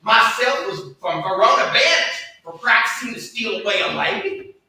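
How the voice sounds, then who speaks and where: An amateur actor playing a swaggering, banished gentleman outlaw in a staged Shakespeare reading, hearty and projected. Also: mid-range voice, expressive, normal speed. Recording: very echoey room, distant mic, faint noise.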